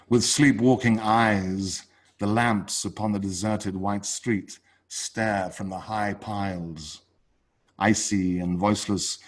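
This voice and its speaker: A man reading his own poetry live over a video call, in a measured delivery with dramatic pauses between short phrases. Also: on the low side. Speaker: poet reading own work.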